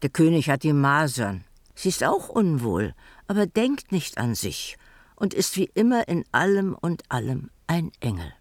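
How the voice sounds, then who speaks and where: An actor playing a devoted courtier, reading an admiring letter for a radio drama. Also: male voice, a little high, sing-song, unhurried, dry recording, clean studio sound, heavily acted.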